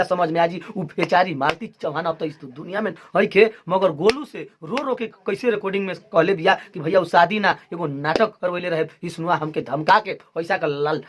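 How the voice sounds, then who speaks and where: A man's voice: sits high, slight background noise. A man with a Bhojpuri accent talking to his viewers as the host of a video channel. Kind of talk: vlog commentary addressed to viewers.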